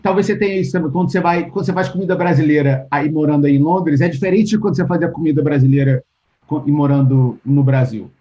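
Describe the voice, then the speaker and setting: Brazilian man, dark lo-fi call voice. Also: a little high, quick pace. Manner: thoughtful, restarts often, acts out quoted exchanges. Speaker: interview guest, writer. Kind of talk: casual interview talk. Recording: dull lo-fi call audio.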